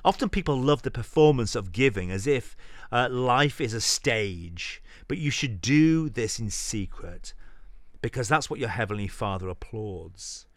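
A man's voice: mid-range voice, lively intonation, unhurried, dry recording. Man giving a dramatic, dry-recorded sermon reading. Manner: earnest preaching delivery with emphatic phrases and trailing-off endings. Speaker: podcast host reading scripture aloud.

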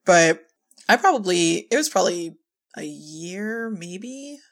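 Woman, fairly low pitch, noticeable vocal fry, casual anecdotal chat, informal quoting. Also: lively intonation, slow pace. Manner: informal, quotes herself and others conversationally. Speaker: audio drama writer-director in conversation.

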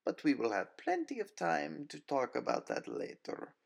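A male narrator puts on a cruel, mocking monster woman with a Russian accent, raised high above his natural register. She speaks with pretentious disdain and taunting menace, as in a dark urban fantasy audiobook. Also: huge pitch swings, normal speed, vocal fry, exaggerated voice. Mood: cool disdain. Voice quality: deep female voice, put on by a man.

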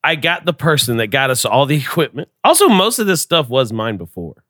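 A man's voice: mid-range voice, big pitch swings, fairly quick, fairly bright tone, dry recording. A man hosting a casual podcast, bantering and joking with friends at a home studio mic. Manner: joking, laid-back banter. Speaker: podcast host. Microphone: headphones at a computer mic.

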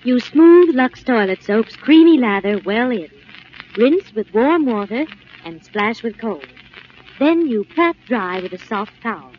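A woman's voice: fairly high pitch, animated, measured pace. Lilting woman in vintage radio commercial. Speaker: commercial spokeswoman. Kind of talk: 1940s scripted radio advertisement. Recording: muffled, hissy vintage radio recording.

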